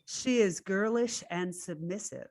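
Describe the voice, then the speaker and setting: Female host, informal introduction, slow deliberate pace, mid-range voice. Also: medium pitch, a little mellow. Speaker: host and theater company director.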